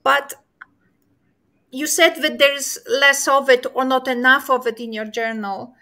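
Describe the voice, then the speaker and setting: Middle-aged woman host, high and bright voice, measured delivery on a video call. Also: steady tone. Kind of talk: hosting a discussion on a video call.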